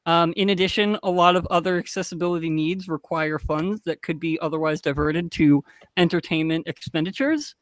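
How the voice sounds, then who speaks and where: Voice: mid-range voice, fairly quick, no echo. A man speaking as a panelist in a casual discussion, frank and informal with a dry turn of phrase. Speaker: podcast panelist.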